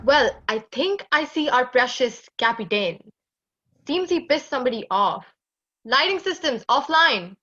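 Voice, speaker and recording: A woman's voice: high voice, lively intonation, unhurried, pushed and bright, dull audio, heavily acted. A woman playing a sardonic, impatient spaceship crew member in a sci-fi audio drama, sarcastic and exasperated.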